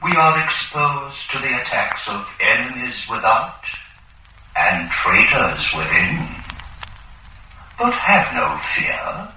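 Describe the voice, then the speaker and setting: Casting: menacingly paternal dictator, very slow declamatory oratory, heavy echo, radio drama. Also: male voice, very animated. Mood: solemn, rousing. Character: male totalitarian leader orating to his followers, menacingly paternal. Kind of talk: rousing propaganda speech, old radio drama. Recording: booming echoey distant loudspeaker, noisy.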